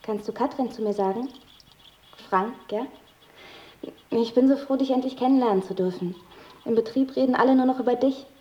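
A woman's voice: a little high, a little fast, long pauses, mellow, lightly acted. A woman playing an eager, confiding hypochondriac who talks matter-of-factly in a naturalistic radio drama. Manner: confiding, matter-of-fact about illnesses. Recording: roomy, slightly dull sound.

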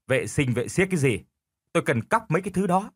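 A gruff older husband from an audio storybook, acted by a male narrator, stubborn and domineering. He grumbles and scolds with lively swings in pitch, recorded dry and close. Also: quick pace, no echo. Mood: stubborn, dismissive.

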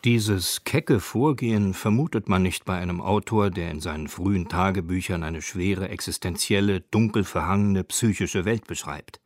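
A man reading scripted radio documentary narration in a measured, composed, literary manner. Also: low-pitched, dry recording, clean studio sound.

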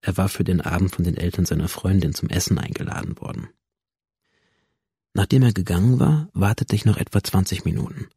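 A man's voice: low voice, fairly quick, mellow, husky, dry recording, studio quality, full character voice. An actor playing a bitter, withdrawn young man who recounts his past to a doctor in a matter-of-fact, understated way in a radio drama. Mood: brooding, detached. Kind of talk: radio drama, introspective first-person narration.

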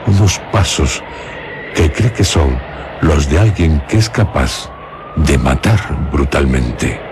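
A man's voice: extremely low pitch, expressive, takes it slowly, noisy background. A man narrates horror for radio in an ominous, solemn, theatrical manner, lingering on short phrases. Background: music.

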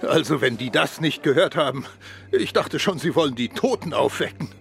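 Cautious police chief with a gravelly creak. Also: male voice, a little high, animated, normal speed. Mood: dry, watchful. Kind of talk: German radio drama acting. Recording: studio radio play with clear background noise.

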